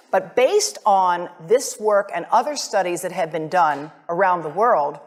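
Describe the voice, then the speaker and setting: Low-pitched American woman lecturing. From United States. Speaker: visiting scientist giving a lecture. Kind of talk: academic presentation to an audience.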